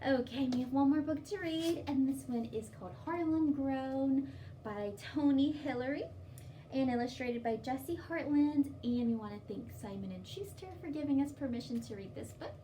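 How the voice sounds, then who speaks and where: A woman's voice: high voice, moderate pace, mellow. A woman hosting storytime, reading aloud and explaining to young children in simple, instructive phrases. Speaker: children's storytime host at a library. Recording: distant mic, faint background noise.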